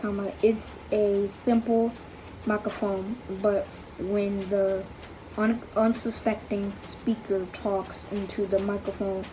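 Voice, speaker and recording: A young female reader going slowly through a book aloud, halting over words and saying the punctuation out loud. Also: mid-range voice, little pitch movement, slight background noise. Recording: dull lo-fi home recording.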